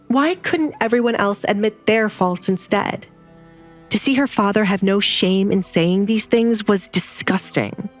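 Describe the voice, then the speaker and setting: A woman narrator reading a scripted romantic drama in a storytelling style. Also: medium pitch.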